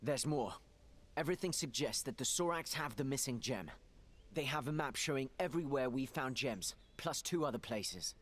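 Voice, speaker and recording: A man playing a grim, urgent adventurer leading his party in a fantasy game, terse and decisive, with a husky voice. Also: medium pitch, animated, moderate pace, a bit bright. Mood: resolute. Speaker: game character, leader of adventurers. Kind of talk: fantasy video game cutscene acting.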